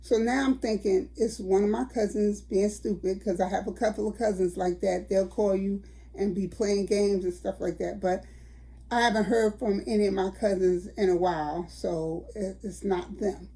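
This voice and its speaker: A woman's voice: medium pitch, fairly even intonation, moderate pace, mellow, rough recording. An older woman, telling a story to her viewers in a chatty vlog. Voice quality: raspy. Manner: chatty, addresses viewers as y'all. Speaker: vlogger.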